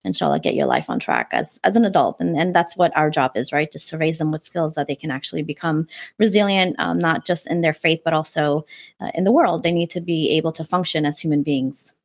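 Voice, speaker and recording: A woman's voice: deep voice, expressive, brisk, dry recording, clean studio sound. A woman in her forties, born in Afghanistan and raised in New York, telling her story as a podcast guest in an earnest, reflective way. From born in Afghanistan, raised in New York City. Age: 40s. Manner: earnest and reflective, religious phrases, frequent fillers. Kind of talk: personal storytelling in conversation.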